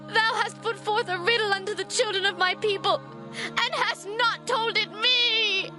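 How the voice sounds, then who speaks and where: A woman's voice: very high-pitched, steady tone, moderate pace, music in the background. A woman playing a distraught young wife, weeping and reproachful, in a dramatized scripture reading. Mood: tearful reproach.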